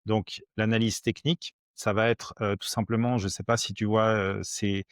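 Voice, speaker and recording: A man in his forties from Switzerland, a podcast guest explaining things simply in conversation, haltingly and with repeated words. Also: on the low side, flat delivery, no echo, clean studio sound. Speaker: podcast guest, crypto educator.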